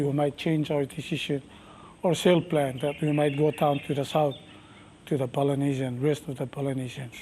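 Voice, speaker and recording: Micronesian master navigator, middle-aged man, mellow voice, unhurried halting delivery, faint background hum. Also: on the high side. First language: a Micronesian language. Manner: slow, thoughtful, halting speech with many fillers. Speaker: master navigator and canoe captain. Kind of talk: interview answers.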